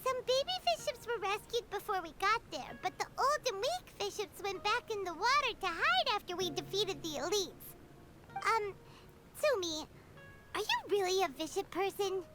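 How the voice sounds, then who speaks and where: A woman voicing a tiny, childlike floating companion girl in a video game, earnest, excitable and inquisitive. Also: very high-pitched, normal speed. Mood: puzzled. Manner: speaks of self in third person, inquisitive. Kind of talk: video game character dialogue. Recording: game music loud behind the voice. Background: loud background music.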